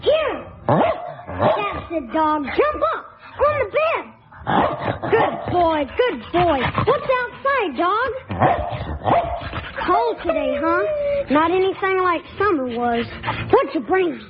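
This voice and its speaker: A sick little boy, soft and coaxing. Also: very high voice, very animated, takes it slowly, pauses often, exaggerated voice. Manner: soft, coaxing, tearful when upset. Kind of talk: radio drama acting. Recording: dull lo-fi old radio recording.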